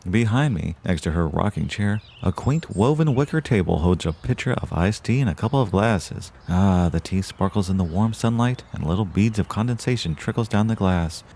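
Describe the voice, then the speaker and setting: A man's voice: deep voice, expressive, moderate pace, gravelly, hardly any pauses, darkish tone, slightly rough, full character voice. A man playing a verbose, genteel small-town podcast host and dutiful son in an audio drama, speaking in folksy, flowery phrases. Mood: relieved, genial. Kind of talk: audio drama, mock radio-show narration.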